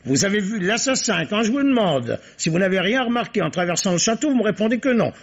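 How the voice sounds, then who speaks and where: Police commissioner, shrewd, courteous, probing, husky timbre, radio drama delivery, dull band-limited recording. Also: male voice, high-pitched, sing-song, fast, heavily acted. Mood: pressing, confident. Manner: formal, probing, polite. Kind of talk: French radio mystery drama acting.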